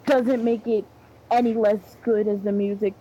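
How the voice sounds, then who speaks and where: A woman's voice: medium pitch, fairly even intonation, normal speed, mellow, slightly rough, dull audio. A woman whose first language is Korean, co-hosting a podcast in casual, agreeable chat. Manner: easygoing, agreeable, frequent "mm-hmm". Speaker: podcast co-host.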